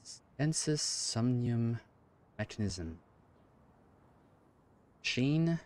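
A man in his thirties reacting to a game as a streamer, musing haltingly and repeating words as he reads aloud. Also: medium pitch, animated, a little mellow. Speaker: gaming streamer. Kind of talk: live game reaction commentary, reading text aloud.

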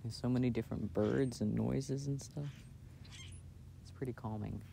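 Male, low mellow voice, playful boyfriend-style ASMR chat, soft-spoken, recorded outside with faint noise behind. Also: fairly even intonation, normal speed, gravelly. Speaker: audio roleplay performer playing a boyfriend. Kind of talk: intimate ASMR roleplay, casual chat. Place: outdoors. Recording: outdoor recording with background noise. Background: outdoor ambience and noise.

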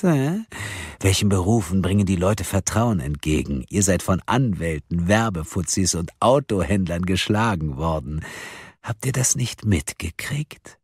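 A man voicing a cold, mocking anonymous male caller who talks slowly and with scorn. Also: deep voice, darkish tone, husky, dry recording, studio quality, exaggerated voice. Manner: slow, sneering, drawn-out delivery.

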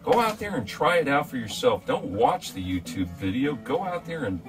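A man's voice: mid-range voice, very animated, moderate pace, distant mic, music in the background. An older man, a retired police officer, telling stories in a chatty, enthusiastic way with many fillers, inside a small boat cabin. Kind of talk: casual storytelling chat.

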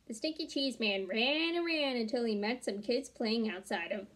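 A woman's voice: fairly high pitch, moderate pace, fairly bright tone. A young adult woman narrating a children's storybook aloud in a small room at home. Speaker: storybook reader.

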